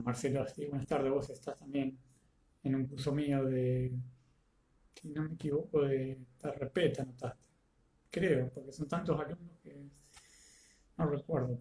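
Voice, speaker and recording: A man with a Rioplatense Spanish accent, congested with a cold and fever, greeting students by name before a live online lecture, with many halting fillers. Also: fairly high pitch, mellow, roomy sound, a little hiss or hum. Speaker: teacher.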